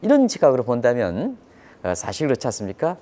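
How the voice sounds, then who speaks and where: A man delivers economic commentary for a live broadcast. His voice is mellow and relaxed in timbre, yet his intonation is very lively. He explains things emphatically. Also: medium pitch, fast talker. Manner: emphatic, explanatory, poses rhetorical questions.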